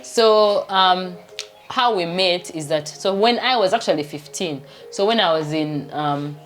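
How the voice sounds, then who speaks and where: A woman's voice: lowish voice, measured pace. A Ugandan woman in her thirties with a Ugandan accent, hosting a chatty, rambling home vlog. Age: 30s. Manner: chatty, laughs often, rambling. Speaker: vlogger and doctor. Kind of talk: casual vlog chat.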